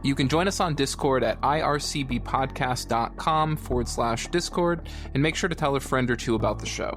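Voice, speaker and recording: A man co-hosts a podcast in a casual, conversational voice. His pitch sits in the middle range for a man, with faint music behind him. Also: normal speed, fairly bright tone. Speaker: podcast co-host.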